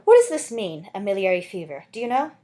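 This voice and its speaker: A woman's voice: mid-range voice, huge pitch swings, fast talker, mellow. A woman voicing a poor, bitter, desperate young mother with dramatic emotion in a storybook reading. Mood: puzzled.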